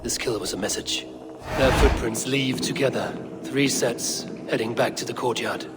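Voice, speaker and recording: An actor playing a grim, shrewd male Viking raider in a video game, speaking in a measured, terse way. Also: mid-range voice, loud music behind the voice, lightly acted. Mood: grim, analytical.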